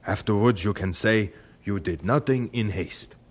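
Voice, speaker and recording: An actor playing a devout, calm and patient orphanage founder, solemn and deliberate, in an old radio drama. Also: male voice, on the low side. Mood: serene faith.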